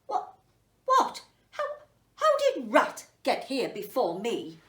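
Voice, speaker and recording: A big, earnest ox from a children's storybook as a woman reader plays it, grumbling and exclaiming with indignation. The voice is on the high side. It sounds roomy and slightly distant from the mic. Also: wildly swooping pitch, slow, fairly bright tone, heavily acted. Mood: astonished, indignant.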